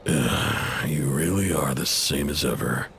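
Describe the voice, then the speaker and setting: A man's voice: a bass voice, measured pace, creaky, a bit bright, a little hiss or hum, exaggerated voice. A man playing a proud, indebted intel broker in a video game, blunt, casual and guarded, with an exasperated edge.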